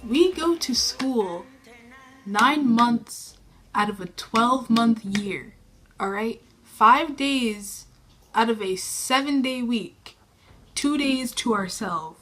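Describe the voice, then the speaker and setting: A teenage girl from New York State talking to the camera in her bedroom, speaking low and subdued, self-conscious and rambling with many fillers. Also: expressive, takes it slowly, fairly bright tone, a little hiss or hum, poor audio. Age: teens. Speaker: vlogger. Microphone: camera in her room.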